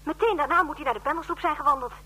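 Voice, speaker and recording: A woman's voice: high-pitched, rapid-fire, bright, forceful tone. An actress playing a brash, sarcastic young woman at a space station in a Dutch science-fiction radio play, snappy and mocking. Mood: impatient, mocking.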